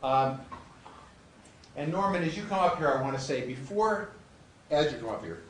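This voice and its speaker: A man's voice: a little high, a bit bright. Man, event host, public introduction speech, distant mic, roomy echo. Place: event hall before an audience. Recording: roomy, noisy hall, far from mic.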